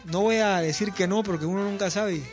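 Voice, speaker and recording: A man in his forties speaks as a musician guest in a relaxed interview, his voice sitting high. He talks earnestly, with fillers and repeated words, and soft music plays faintly behind him. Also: a little fast. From Peru. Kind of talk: casual interview chat.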